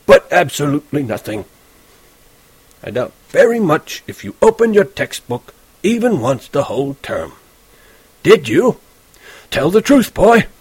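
A man reading an audiobook, voicing a sarcastic old male history teacher who speaks slowly and deliberately. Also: fairly high pitch, big pitch swings, breathy edge, no room sound, pitched above the performer's own voice, full character voice. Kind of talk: audiobook character voice.

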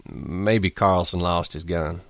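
Brief: tired farmhand, soft and halting, low, as a man reads him. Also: steady tone, no room sound, natural voice. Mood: weary. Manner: soft, weak, hesitant. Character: weary, grieving male farmhand, protective.

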